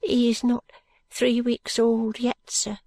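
A woman voicing a poor, weary young working-class mother who speaks softly and plaintively in rustic grammar. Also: fairly high pitch, completely flat delivery, takes it slowly, gravelly, dry recording, pitched above the performer's own voice, heavily acted. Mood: sorrowful.

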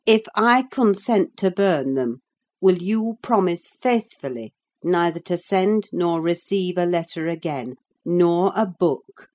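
A woman's voice: on the low side, expressive, slow, a little mellow. Woman playing a stern, scornful servant woman. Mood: firm bargaining. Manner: grave, sarcastic, scolding. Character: stern, scornful woman servant scolding her young mistress. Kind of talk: audiobook character acting.

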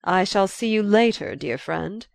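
A woman's voice: mid-range voice, lively intonation, measured pace, creaky, no echo. A female narrator puts on a pompous doctor and lecturer, a large man from a period novel. He speaks in a formal, ponderous way. The sound is close and dry. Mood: courteous, disappointed.

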